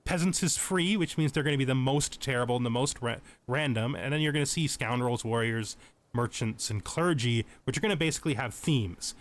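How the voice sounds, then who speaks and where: A man's voice: mid-range voice, a little fast. A man giving casual tutorial commentary over a video game, explaining things as he thinks aloud.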